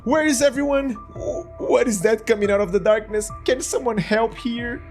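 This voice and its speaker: High-voiced Brazilian man, upbeat narration. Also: normal speed, a little mellow. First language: Portuguese. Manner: enthusiastic and playful, mock-dramatic asides. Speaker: manga review host. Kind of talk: scripted video narration. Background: faint background music.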